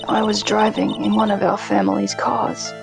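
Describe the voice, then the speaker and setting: A woman voicing an eerie young mob heiress in a game fan dub, with a halting, deliberate delivery. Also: medium pitch, monotone, creaky, over music. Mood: detached, halting.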